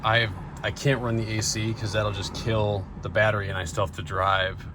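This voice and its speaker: A man's voice: level tone, moderate pace. An American man giving casual, laid-back, matter-of-fact vlog commentary from his van. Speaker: vlogger. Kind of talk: casual running vlog commentary while driving. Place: inside and around an old van. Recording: very noisy car interior, engine rumble.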